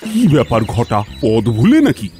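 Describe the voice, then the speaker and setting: A man's voice: mid-range voice, very animated, normal speed, mellow, slight background noise, lightly acted. A man voicing a fretful, cowardly, self-pitying king in a folktale cartoon, peevish and theatrical.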